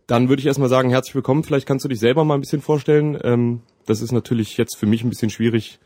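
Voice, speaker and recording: A man's voice: mid-range voice, steady tone, fast, warm tone, dry recording, studio quality. A man hosting a campus radio interview, asking questions in an informal way.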